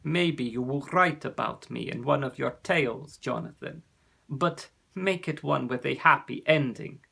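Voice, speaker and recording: A man voicing a huge, humble creature parent who speaks gently and with dignity. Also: mid-range voice, a little slow, gravelly, breathy edge, exaggerated voice. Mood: gentle.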